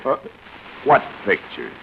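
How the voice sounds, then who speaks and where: An American actor playing a taunting, hard-boiled police detective in 1940s radio drama, needling and threatening. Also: male voice, slow, lightly acted. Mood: smug, taunting. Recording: muffled, faintly noisy old radio broadcast.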